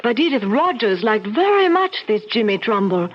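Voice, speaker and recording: A coy student. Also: female voice, a little high, sing-song, normal speed. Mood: demure. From France. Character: young female foreign student, admiring, coy. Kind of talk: 1970s radio drama acting. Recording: dull old radio broadcast.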